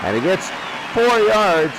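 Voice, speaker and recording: A man's voice: high voice, big pitch swings, slow pace, background noise. A man doing live radio play-by-play football commentary in clipped phrases that he often repeats. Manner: repeats phrases, clipped present-tense calls.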